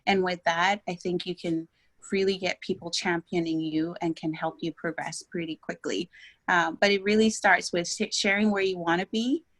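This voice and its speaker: A woman's voice: lowish voice, fairly even intonation, normal speed, a bit bright, low-quality recording. A woman from Ghana sharing career advice on a panel in a direct way. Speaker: panelist, operations and strategy leader.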